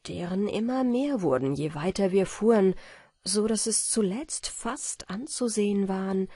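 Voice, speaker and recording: A woman narrating a bedtime fairy tale audiobook in a gentle, measured storytelling manner. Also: mid-range voice, breathy edge, no echo, clean studio sound.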